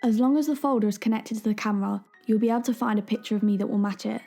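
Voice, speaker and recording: Give a mellow, gentle young woman's voice for an audio drama , an actress as a late daughter. She is loving and earnest, speaking conversationally and reassuringly over music. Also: mid-range voice, steady tone, racing, creaky. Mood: tender, explaining. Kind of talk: audio drama letter monologue.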